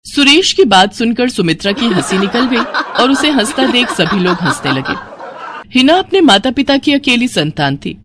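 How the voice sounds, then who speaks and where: Animated woman narrating a story. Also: fairly high pitch, moderate pace, noisy background.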